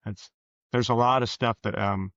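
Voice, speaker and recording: A man's voice: medium pitch, fairly even intonation, a bit bright. An American man in his sixties answering casually as an interview guest, in a hesitant, folksy way. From rural Kansas, USA. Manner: hesitant, halting, folksy phrasing. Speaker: guest, author and former police officer. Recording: roomy, dull lo-fi sound.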